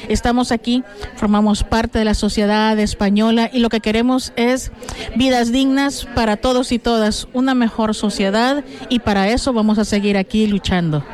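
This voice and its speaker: A woman's voice: a little high, fairly even intonation, moderate pace, darkish tone. A middle-aged woman from El Salvador, a city councillor, answering interview questions in an earnest way with frequent fillers and restarts.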